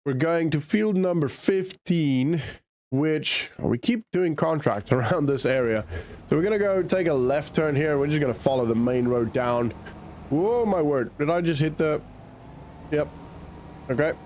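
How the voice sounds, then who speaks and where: A man with a croaky, nasal voice from a cold, chatting casually as he plays a farming game and thinks aloud. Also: fairly high pitch, lively intonation, unhurried, darkish tone, slight background noise. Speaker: gaming channel host. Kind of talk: casual gameplay commentary.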